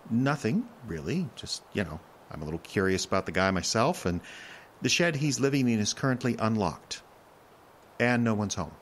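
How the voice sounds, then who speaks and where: An actor playing a bumbling, slow-witted but well-meaning man in an audio drama, talking in a hesitant, halting, sheepish way. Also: on the low side, big pitch swings, a little hiss or hum. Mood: curious, casual.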